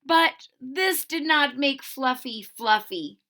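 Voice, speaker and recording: Animated, high-pitched woman reading to children. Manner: exaggerated, drawn-out emphasis on key words. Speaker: storybook read-aloud host. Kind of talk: expressive children's read-aloud with chatty asides.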